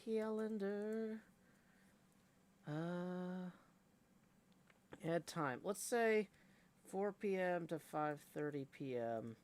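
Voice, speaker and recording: A man hosting a live game stream in a casual, rambling way, with a creaky voice. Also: high voice, expressive, extremely slow, long pauses, darkish tone. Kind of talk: casual livestream chat.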